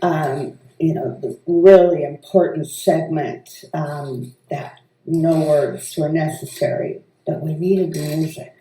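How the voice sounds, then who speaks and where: A woman's voice: sits low, steady tone, slow pace, dark tone. A woman casually explaining and telling anecdotes as a film mentor. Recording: echoey room, distant mic.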